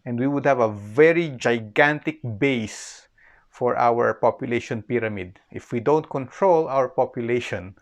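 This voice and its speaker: A male history lecturer with a Filipino accent talks at an unhurried, slow pace. His mid-pitched voice has a marked creak. He explains informally, restating key phrases and checking in with an "okay". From Philippines.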